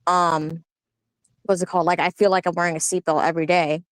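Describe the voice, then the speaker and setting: A woman's voice: fairly low pitch, level tone, a little fast, smooth, dry recording. A woman in a casual political discussion, speaking reflectively with many fillers. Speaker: panelist.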